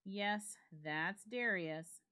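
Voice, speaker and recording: A woman voicing a puzzled, uneasy gentleman host in a ghost story, with emphatic, questioning delivery. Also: medium pitch, drawn-out words, smooth. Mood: puzzled, insistent.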